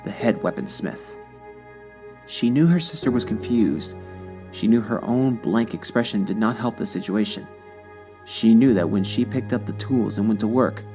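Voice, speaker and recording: A man narrating a dramatic scripted story in a deliberate, solemn manner. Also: on the low side, darkish tone. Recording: music behind the voice. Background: instrumental music.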